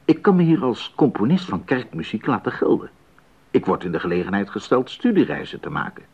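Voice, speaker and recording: An actor playing an earnest, devout and determined musician husband in a 1980s radio play, with formal, emphatic diction. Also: male voice, on the low side, sing-song, brisk, darkish tone, breathy edge, exaggerated voice. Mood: earnest, regretful. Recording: dull, muffled 1980s radio recording.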